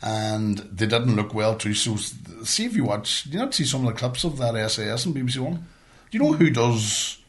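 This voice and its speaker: A man's voice: medium pitch, sing-song, moderate pace. A middle-aged man from Northern Ireland with a Northern Irish accent, telling stories in casual, sweary chat as a podcast host. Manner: blunt, sweary.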